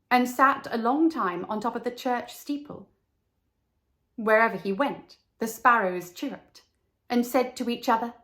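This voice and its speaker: A woman reading a children's story as narrator, measured and expressive. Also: a little high.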